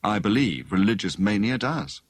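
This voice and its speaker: A genial young gentleman detective from a storybook, played by a male narrator. His voice is fairly low and breezily conversational, with lively intonation. It is recorded dry and close. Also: moderate pace, no echo. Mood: reasoning.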